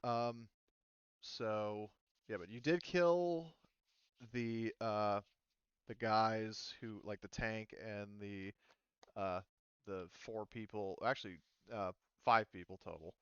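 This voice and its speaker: A man running a tabletop roleplaying game as game master, talking casually and haltingly as he thinks through the rules aloud. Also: lowish voice, steady tone, fairly bright tone, clear, resonant tone, dry recording, highs cut off. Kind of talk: casual tabletop game refereeing over online session.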